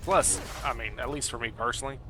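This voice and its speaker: A man's voice: medium pitch, fast, over music. A man livestreaming a game, chatting casually in a friendly, rambling way as he thinks aloud. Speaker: game streamer. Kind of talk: casual livestream chat over gameplay.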